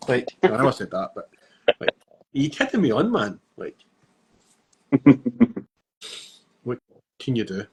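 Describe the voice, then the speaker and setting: A man's voice: mid-range voice, big pitch swings, unhurried, long pauses, poor audio. A man with a mid-range voice co-hosts a casual podcast chat. He jokes and laughs his way through anecdotes. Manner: jokey, laughs through stories. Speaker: podcast co-host.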